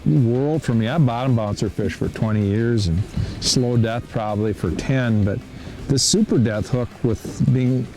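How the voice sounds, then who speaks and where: A man hosting a fishing show, chatting excitedly and laughing often while out on a fishing boat on a lake. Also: mid-range voice, a little slow, over music, rough recording. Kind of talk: casual chat while fishing.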